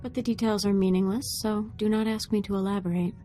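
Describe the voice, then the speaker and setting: An actress playing a young, earnest, troubled Sith apprentice in a video game, speaking gravely and formally. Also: female voice, mid-range voice, fairly even intonation, fast talker, mellow, soft background music, lightly acted. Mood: solemn, drained. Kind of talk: dramatic video game voice acting.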